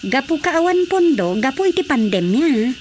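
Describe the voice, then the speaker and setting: A woman playing a talkative, concerned village woman in a Filipino radio drama, with an Ilocano accent and expressive, gossipy delivery. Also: sits high, moderate pace, slight background noise.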